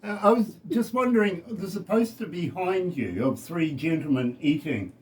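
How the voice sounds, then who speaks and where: A man's voice: sits high, animated, normal speed, darkish tone, poor audio. A man with a New Zealand accent, a radio DJ chatting casually and jokingly in a live interview.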